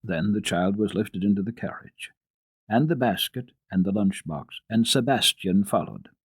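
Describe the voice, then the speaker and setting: Male children's storyteller: lowish husky voice, very animated, careful dramatic narration. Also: normal speed, no echo. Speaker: storyteller and radio host. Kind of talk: dramatic reading of children's classic literature.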